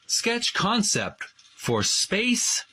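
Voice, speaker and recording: A man hosting a video channel, reading from a book and adding casual commentary in an earnest, deliberate way. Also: high voice, wildly swooping pitch, bright, forceful tone, a little hiss or hum.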